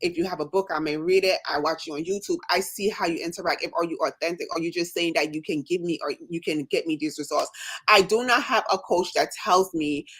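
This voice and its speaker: Lady with a little jamaican accent, talks real fast and emphatic, kinda low voice, telling her story on a live. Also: fairly bright tone, breathy edge. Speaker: nurse and business owner, guest. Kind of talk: casual live-stream chat.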